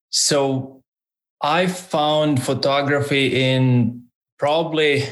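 Guy, photographer guest, slow and hesitant, keeps restarting. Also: mid-range voice, fairly bright tone, low-quality recording. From Finland. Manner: hesitant, many restarts and fillers. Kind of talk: casual interview talk.

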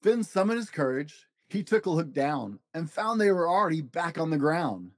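A storybook reader delivers rhyming narration for children with very lively, sweeping intonation. The sound is dry and close, and each line is shaped for a young listener. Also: medium pitch, normal speed, dry recording. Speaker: picture book reader.

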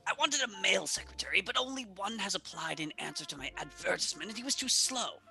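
Man acting a creaky, blunt, awkward professor. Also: sits high, animated, bright, forceful tone, faint music behind, heavily acted. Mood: blunt, irritated. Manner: halting, blunt, formal. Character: awkward, blunt male professor, wary of women. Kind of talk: old-time radio play acting.

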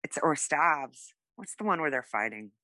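Low-voiced, playful woman. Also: animated, normal speed, sharp tone, no echo. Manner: playful, irreverent, self-deprecating. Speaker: podcast co-host. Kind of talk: casual banter on a tarot quiz podcast.

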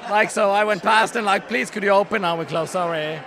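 High-voiced European man in crowded bar. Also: brisk, gravelly, a crowd in the background. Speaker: guest, toy collector. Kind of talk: casual chat. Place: busy hotel bar.

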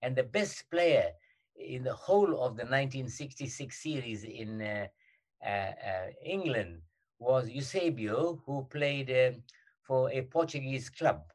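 An older man with a South African accent, recalling his past in an interview, halting often with many fillers and restarts. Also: mid-range voice. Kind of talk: reminiscing in an interview.

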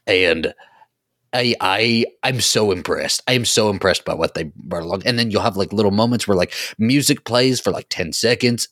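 A man's voice: on the low side, normal speed, a bit bright, no room sound, studio quality. A man co-hosting a podcast, talking casually and emphatically as he gushes in a fan discussion. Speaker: podcast host.

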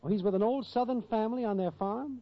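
An actor playing a shifty, slangy small-time schemer of a boyfriend in a 1940s radio comedy, given to grand speeches. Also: male voice, sits high, quick pace. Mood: scheming. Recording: muffled, noisy 1940s radio broadcast.

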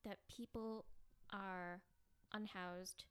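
A young woman from the Chicago suburbs, a guest in a podcast interview, speaking earnestly and reflectively with pauses. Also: mid-range voice, steady tone, fairly bright tone, smooth. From Chicago suburbs, US Midwest. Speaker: campaign manager, guest.

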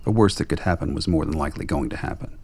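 A man narrating a radio play with a gravelly, husky voice and measured, dramatic storytelling delivery. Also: extremely low pitch, a little mellow.

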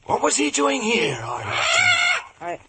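An actor playing a wily, jovial old one-legged sea cook in a 1950s radio drama, with a rough West Country pirate accent and folksy, flattering sailor talk. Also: male voice, very high-pitched, slow, very noisy, exaggerated voice. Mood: confiding. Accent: English West Country pirate dialect. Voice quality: gravelly, rough. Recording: vintage 1950s radio broadcast.

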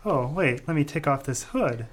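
A man's voice: fairly high pitch, expressive, moderate pace. A man playing a mild, hapless sidekick in a comic radio play, naive and plaintive.

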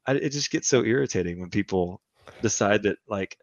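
A man in his thirties speaks in a low voice, chatting as a podcast guest and pressing his opinions with emphasis. His talk wanders through restarts as he builds his points. The audio is dry and a little dull, like a lo-fi podcast mic. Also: expressive, brisk, dry recording. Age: 30s. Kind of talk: casual conversational podcast chat.

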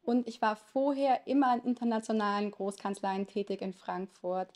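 A woman in her thirties who grew up in Lower Saxony speaking Italian first, answering interview questions as a lawyer in a chatty way with frequent hesitation fillers. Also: moderate pace, a little mellow. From Lower Saxony, Germany. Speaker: lawyer, podcast guest.

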